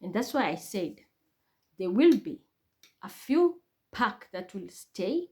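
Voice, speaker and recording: A woman's voice: mid-range voice, big pitch swings, slow, darkish tone. A woman with a Kenyan accent giving a blunt, heated monologue, swearing freely and building to outbursts. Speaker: online commentator. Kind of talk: impassioned monologue.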